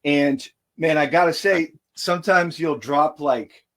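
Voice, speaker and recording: A man's voice: on the high side, a little slow, a bit bright. An American man hosting a casual interview, laid-back and enthusiastic, who says man a lot. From United States. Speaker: podcast host and rapper.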